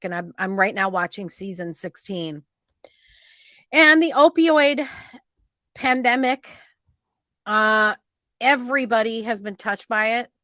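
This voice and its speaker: Female true-crime host, mid-pitched, informal, earnest commentary with a cautionary, emphatic delivery. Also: slow, long pauses, fairly bright tone, no echo.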